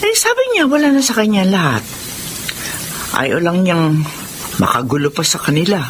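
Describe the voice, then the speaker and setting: A woman with a Filipino accent playing a soft, earnest family woman in a radio drama. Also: fairly low pitch, very animated, moderate pace, a little hiss or hum. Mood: calm, hopeful. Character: loving mother, or repentant grown daughter.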